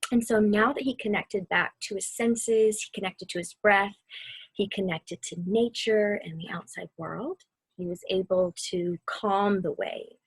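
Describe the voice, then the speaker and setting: Casting: woman, very breathy and soft, slightly high voice, gentle meditation guide for children, close dry sound. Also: no echo, rough recording. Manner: soothing, unhurried, softly spoken. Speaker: mindfulness guide reading a children's book aloud.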